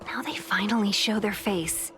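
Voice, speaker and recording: An actress voicing a poised, knowledgeable guide in a video game, formal, composed and courteous. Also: female voice, mid-range voice, fairly even intonation, normal speed, close to an everyday voice. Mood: concerned, serious. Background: prominent music.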